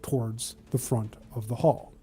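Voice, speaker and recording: A man narrating a tabletop roleplaying game as game master, with a deliberate, halting delivery. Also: mid-range voice, fairly even intonation, warm tone.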